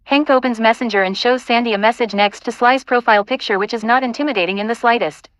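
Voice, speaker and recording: A woman's voice: fairly high pitch, fast, fairly bright tone, dry recording, low-quality recording. A woman reading scripted narration and stage directions for an audio play.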